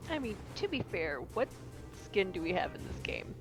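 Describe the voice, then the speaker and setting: A woman with a strongly creaky, mid-pitched voice plays a tabletop game. She talks casually in character, with faint music underneath. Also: very animated, moderate pace.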